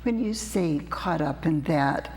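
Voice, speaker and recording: Woman, talk show host, slightly low voice, unhurried questions, echoey studio. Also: lively intonation, a little mellow. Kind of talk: studio interview.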